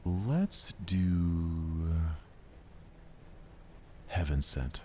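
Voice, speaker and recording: A soft-spoken man doing ASMR let's play commentary on a game, murmuring to himself without hurry over some audible background noise. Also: sits low, animated, drawn-out words. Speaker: gaming streamer playing a visual novel.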